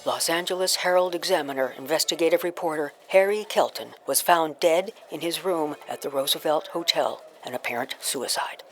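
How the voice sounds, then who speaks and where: A woman acts out an urgent bulletin announcer in an audio comedy. Her low voice is grave and official, with clear music behind it. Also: moderate pace, hardly any pauses, lightly acted. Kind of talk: news bulletin.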